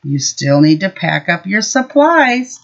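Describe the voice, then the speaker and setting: A woman voicing a patient, reassuring mother mouse in a picture-book story, in a gentle, instructive way. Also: medium pitch, sing-song, measured pace, gravelly, dull audio.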